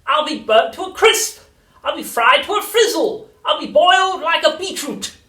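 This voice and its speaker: A storybook narrator voicing a cranky, furious old grandmother who yells and screeches. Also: mid-range voice, sing-song, very slow, distant mic, voice pushed up, full character voice. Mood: frantic, furious. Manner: yelling, screeching, exclamatory. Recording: roomy, echoey, away from the mic.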